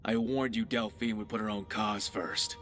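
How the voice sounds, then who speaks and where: A man's voice: on the low side, fairly even intonation, normal speed, fairly bright tone, close to an everyday voice. Man acting a wry pirate adventurer, faint music behind. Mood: earnest, pointed. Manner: wry, conversational, philosophical. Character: roguish, witty pirate adventurer companion, male. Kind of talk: video game companion dialogue.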